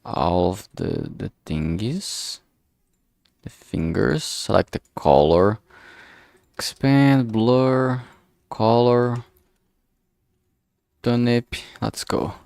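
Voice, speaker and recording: A man's voice: medium pitch, drawn-out words, creaky, long pauses, a little mellow, no room sound, clean studio sound. A man casually talking through his digital art work on a livestream, murmuring each step as he goes. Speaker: streamer making a visual novel. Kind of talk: casual livestream commentary while drawing.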